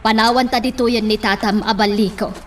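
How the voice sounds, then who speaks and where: A woman's voice: on the high side, steady tone, a little fast, close to an everyday voice. A woman acting an insistent, nagging middle-aged mother in an Ilocano radio drama. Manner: insistent, questioning. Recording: distant microphone with faint background noise.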